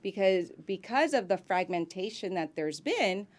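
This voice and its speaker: Woman, grew up in Miami, actress guest, effusive and talkative, frequent ums and restarts, mid-pitched voice. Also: expressive, measured pace. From grew up in Miami, United States. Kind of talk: casual interview chat.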